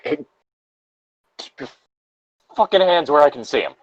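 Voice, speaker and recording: A man's voice: on the high side, lively intonation, a little slow, no room sound, highs cut off. A man co-hosting a comic read-aloud, bantering casually and swearing with mock indignation. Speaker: co-host reading video game creepypastas aloud.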